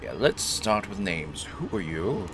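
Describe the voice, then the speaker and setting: A man's voice: low-pitched, animated, unhurried. A man hosting a gaming video with casual, playful commentary and dry joking, game noise behind him. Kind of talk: casual let's play commentary with improvised role-play.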